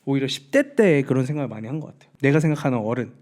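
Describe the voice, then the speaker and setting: A man in his thirties co-hosting a podcast, chatting casually and telling stories with animated, shifting delivery. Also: mid-range voice, very fast. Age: 30s. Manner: animated, storytelling, frequent agreeing hums. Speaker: podcast co-host. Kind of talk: casual chat.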